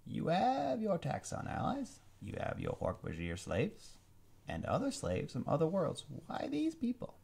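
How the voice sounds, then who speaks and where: A noble alien warrior prince, grave and measured, as a man voices him in a read-aloud story. He talks slowly and formally, a little higher than the reader's own voice, with a gravelly, husky edge. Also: wildly swooping pitch, a little mellow, exaggerated voice. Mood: probing.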